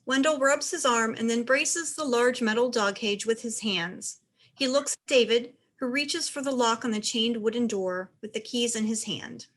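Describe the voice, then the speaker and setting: A woman's voice: on the high side, moderate pace, a bit bright. A woman reading stage directions as narrator, in scripted narration for a play.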